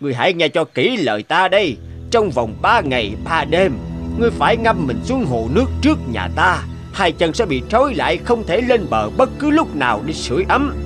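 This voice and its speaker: A man's voice: a little high, sing-song, a little fast, music in the background. Blustering, haughty rich landowner father. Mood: stern, scheming. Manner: domineering, exclamatory. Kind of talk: Vietnamese fairy-tale cartoon dubbing.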